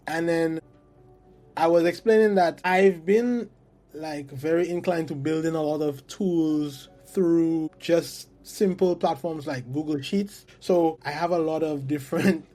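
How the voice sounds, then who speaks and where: A man's voice: fairly high pitch, mellow. A man in his twenties from St. Lucia, a guest talking earnestly and reflectively in a casual interview, often saying "like.". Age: 20s.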